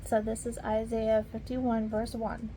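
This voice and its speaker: Slow, dark-voiced woman reading scripture. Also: fairly high pitch, monotone, slight background noise, rough recording. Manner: slow, halting, stumbles over archaic words; speaks softly to viewers between verses. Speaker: Bible reader.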